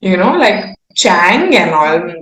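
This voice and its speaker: A woman's voice: lowish voice, very animated, slow pace, a bit bright. Indian woman chatting candidly, roomy sound. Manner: rambling, informal, self-aware. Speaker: singer-songwriter being interviewed. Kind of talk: casual, candid chat. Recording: roomy echo.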